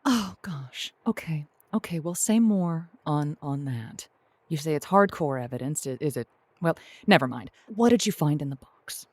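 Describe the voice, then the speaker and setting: A kindly radio advice host, played by a woman, speaks in a fairly low voice with very little room sound. She is wry and tactful, stumbling over delicate words as she counsels her callers in a comic show. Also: lively intonation, moderate pace, a little mellow, no room sound. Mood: puzzled, tactful. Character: kindly, flustered female host of a relationship advice call-in show.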